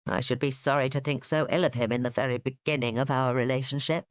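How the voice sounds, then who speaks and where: A woman's voice: a bass voice, fairly even intonation, fast talker, dry recording. A woman voicing a weary older father with a dry, sardonic way of speaking.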